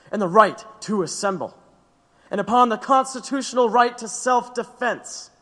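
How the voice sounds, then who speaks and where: A man's voice: high voice, a bit bright. Reverberant, defiant male anarchist orator. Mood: accusing, defiant. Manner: rhetorical, deliberate, emphatic. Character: defiant, impassioned male anarchist defendant addressing court. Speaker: actor in an audio drama. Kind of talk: theatrical courtroom oratory. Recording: long hall-like reverb.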